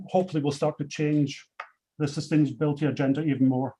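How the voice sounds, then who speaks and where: A man's voice: on the high side, darkish tone, poor audio. A man from Scotland with a Scottish accent, a company chief presenting industry awards in a webinar, speaking unscripted with many fillers and restarts.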